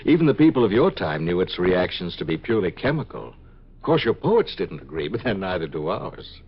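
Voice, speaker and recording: A man's voice: deep voice, sing-song, brisk, husky, heavily acted. A man acting an earnest, lovestruck scientist of a future century in 1950s radio drama style, ardent and persuasive. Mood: tender, musing. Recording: dull 1950s radio recording.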